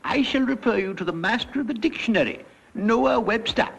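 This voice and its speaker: An actor playing a fussy heavenly clerk in a cartoon, speaking with formal, puzzled politeness and some hesitation. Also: male voice, sits high, animated, brisk, creaky, fairly bright tone, soft background music, exaggerated voice, low-quality recording. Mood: polite, puzzled. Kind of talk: classic cartoon character acting.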